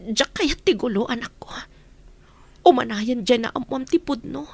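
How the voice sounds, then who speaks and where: A woman playing a tender, long-yearning mother in a radio drama, with an emotional, breathy delivery. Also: on the high side, animated, normal speed. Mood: tender, aching.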